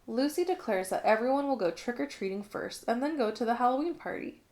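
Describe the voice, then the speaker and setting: A woman's voice: fairly high pitch, animated, brisk, a little mellow. Woman reading a children's storybook aloud. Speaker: storybook reader. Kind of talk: expressive children's read-along narration.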